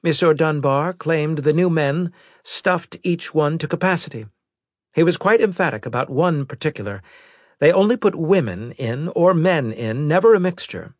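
A man voicing a brisk, formal female military intelligence officer giving precise reports in an audiobook. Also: on the high side, animated, dry recording, studio quality, pitched above the performer's own voice.

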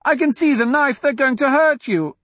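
A man's voice: very high voice, moderate pace, a bit bright, dry recording, pitched above the performer's own voice. A man voicing a worried, innocent young girl in a storybook, in a small voice. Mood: frightened protest. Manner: small voice, questioning, protesting.

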